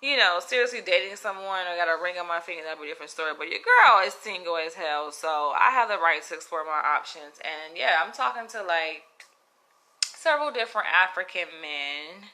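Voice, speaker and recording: Southern US woman, piercingly bright tense voice, frank and profane, animated casual storytelling. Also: on the low side, brisk, poor audio. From Florida, US. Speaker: comedian.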